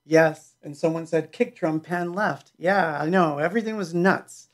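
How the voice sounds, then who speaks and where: A man's voice: a little high, normal speed. A middle-aged man hosting a livestream from his small home music studio, chatting loosely and joking while he answers viewer questions. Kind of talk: casual livestream chat. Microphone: headset mic.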